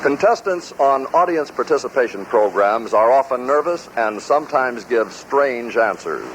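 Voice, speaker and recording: A man's voice: medium pitch, expressive, normal speed, a little hiss or hum, low-quality recording. A man narrating a compilation in scripted announcer style on an old radio recording.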